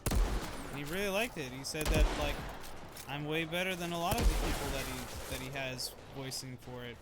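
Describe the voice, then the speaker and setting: A man's voice: on the high side, moderate pace. A young man streaming and chatting casually, rambling with lots of fillers. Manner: rambling, relaxed, many fillers. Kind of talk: casual chat. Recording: loud gunfire game noise behind. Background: loud game gunfire.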